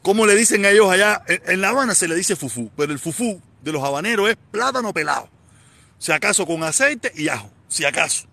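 A man's voice: high-pitched, expressive, fast, vocal fry, pushed and bright. Cuban man in his forties, rambling. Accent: Cuban, Havana. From Cuba. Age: 40s. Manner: rambling, repeats himself, answers viewer comments. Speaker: live streamer. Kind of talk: casual live stream chat.

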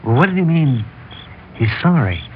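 An actor playing a concerned passer-by in a 1960s radio drama. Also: male voice, on the high side, sing-song, moderate pace. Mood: grave concern. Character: concerned passer-by who finds a victim. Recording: muffled, faintly noisy old radio recording.

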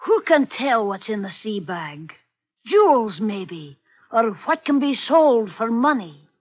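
An actor in an old-time radio drama playing a wily, coaxing little crook with rustic sailor speech. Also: male voice, high voice, sing-song, slow pace, highs cut off, exaggerated voice. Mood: sly coaxing. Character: wily, wheedling little male crook and sidekick. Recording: vintage radio recording.

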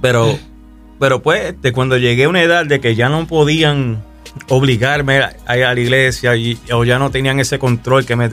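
A man with a Puerto Rican accent gives his testimony on a radio show, speaking in an easy, halting way full of fillers and restarts. Faint music plays softly beneath him. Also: mid-range voice. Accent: Puerto Rican Spanish. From Puerto Rico. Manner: relaxed, hesitant, many fillers and restarts. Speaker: guest musician.

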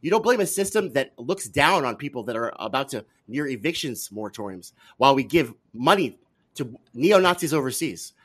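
A man's voice: medium pitch, big pitch swings, moderate pace, a bit bright, husky. A man debating politics heatedly as a talk show guest, argumentative and pressing his points with challenging rhetorical questions.

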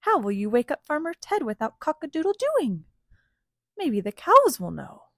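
A woman's voice: sits high, wildly swooping pitch, moderate pace, no room sound, clean studio sound, pitched above the performer's own voice, heavily acted. A woman voicing sympathetic farmyard hens from a storybook, concerned and fussing. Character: sympathetic clucking hens, female farm birds.

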